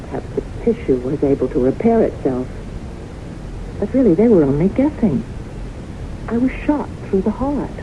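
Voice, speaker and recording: An actress in an old-time radio drama playing a haunted widow who tells her story in a dramatic, deliberate, earnest way. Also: medium pitch, big pitch swings. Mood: earnest, insistent. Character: haunted widow solemnly recounting her brushes with death. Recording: dull, hissy old radio broadcast.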